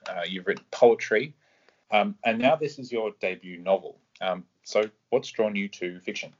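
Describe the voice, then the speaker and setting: A man's voice: fairly low pitch, normal speed, breathy edge, lo-fi sound. A man co-hosting a podcast, asking questions hesitantly with many ums and restarts. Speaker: podcast co-host. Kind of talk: interview questions.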